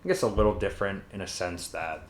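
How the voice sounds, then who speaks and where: A man's voice: low-pitched, fast talker, slight background noise. A man co-hosting a podcast, talking casually and giving his opinions in an informal way with rhetorical questions. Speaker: podcast co-host. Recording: roomy, echoey sound.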